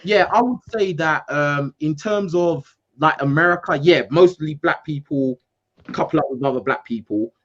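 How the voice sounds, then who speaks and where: A man from the UK, hosting a call-in show in a casual, animated debate, repeating himself for emphasis. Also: on the high side, normal speed, dull audio. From United Kingdom. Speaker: host.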